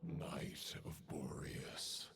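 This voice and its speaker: An actor playing a solemn, honour-bound undead knight who speaks in formal, archaic phrases, in a video game style. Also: male voice, drawn-out words, fairly bright tone, in a whisper. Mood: grave, resolute. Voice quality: low gravelly voice. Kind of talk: dramatic video game acting.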